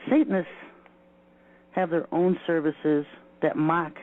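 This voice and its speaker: Higher-voiced man on dull, lo-fi audio. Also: steady tone, takes it slowly. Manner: emphatic, lists in short phrases. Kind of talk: informal spoken exposé.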